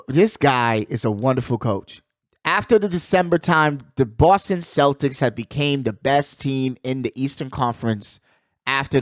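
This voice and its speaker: A man's voice: mid-range voice, dry recording, clean studio sound. A man hosting a sports show with casual, opinionated commentary, talking emphatically in slangy phrases and repeating himself to drive points home. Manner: slangy, conversational address to listeners.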